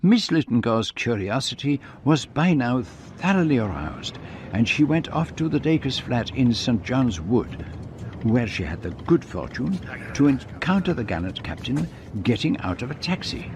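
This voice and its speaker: An actor playing an urbane, wry detective who narrates a radio drama in a precise, formal manner. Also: male voice, medium pitch, sing-song, unhurried, background noise.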